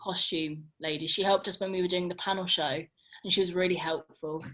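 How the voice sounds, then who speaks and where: A woman's voice: on the low side, steady tone, a little fast, a bit bright. A young woman, an actor, chatting casually in an agreeable, informal way. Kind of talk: casual chat.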